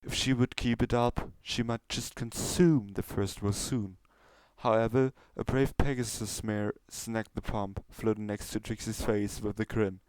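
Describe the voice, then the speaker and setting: Man, lowish voice, storybook narration, scripted reading, very little room sound. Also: steady tone, measured pace, a little mellow, clean tone, no echo, rough recording. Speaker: story reader.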